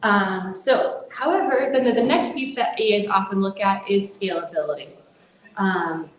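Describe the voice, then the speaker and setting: American woman, conference speaker, mid-range voice, earnest, roomy echo. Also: mid-range voice. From United States. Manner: earnest, with frequent fillers and restarts. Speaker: conference speaker, charity co-founder. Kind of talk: conference presentation. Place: conference room.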